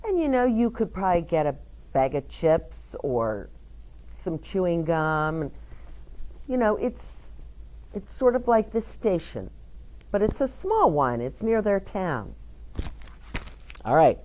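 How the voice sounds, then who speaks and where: A woman reads a children's picture book aloud in an unhurried, very slow way, with a low voice that still swoops up and down a lot. She pauses to explain words and to ask her young listeners gentle questions. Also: big pitch swings. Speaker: children's storyteller.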